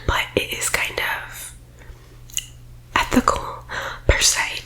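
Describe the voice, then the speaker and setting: A high, whispering boss-babe recruiter, played by a woman delivers a comedic ASMR sales pitch. Her delivery stays even and narrow in pitch, with a faux-professional, reassuring polish. She is pushy yet evasive, nudging her listeners to agree. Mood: coaxing, confident. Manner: faux-professional, reassuring, tagging points with "okay?". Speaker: ASMR roleplay performer.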